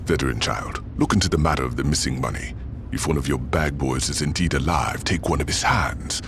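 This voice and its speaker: A deep, gravelly, menacing gang general. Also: male voice, noisy background. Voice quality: formal, measured. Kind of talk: video game cutscene acting.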